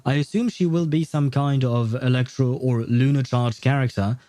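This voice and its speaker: A man's voice: mid-range voice, fairly even intonation, normal speed, warm tone, no echo. A man hosting a gaming livestream, talking casually and thinking aloud in a rambling way. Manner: rambling, thinking aloud, chuckles at own jokes. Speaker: livestream host.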